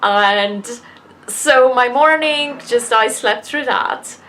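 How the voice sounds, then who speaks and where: A woman's voice: a little high, slow pace, a bit bright, low-quality recording. A woman vlogging in a casual, chatty rant, exasperated and sweary, leaning hard on key words. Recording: noticeable background noise.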